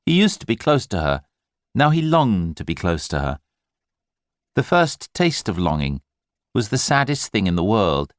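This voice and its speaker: A male audiobook narrator reads scripted literary fiction. His voice sits fairly low and moves with very lively intonation. The delivery is measured and expressive, and the sound is very dry. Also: dry recording.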